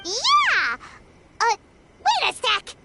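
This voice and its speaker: A woman voicing a small, childlike fairy companion in a video game, excitable and blunt with a squeaky voice. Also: drawn-out words, background noise, heavily acted. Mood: excited. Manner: folksy exclamations, eager and blunt. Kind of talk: English video game voice acting.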